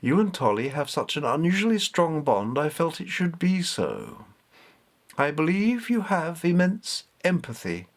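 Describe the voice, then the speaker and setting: A man's voice: fairly high pitch, big pitch swings, measured pace, pitched above the performer's own voice. A man voicing an ancient female cat goddess who speaks in a formal, commanding way.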